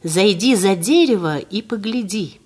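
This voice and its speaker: A woman voicing a kindly, mighty old man with a green beard in a fairy tale, speaking gently and reassuringly. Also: mid-range voice, animated, measured pace, husky.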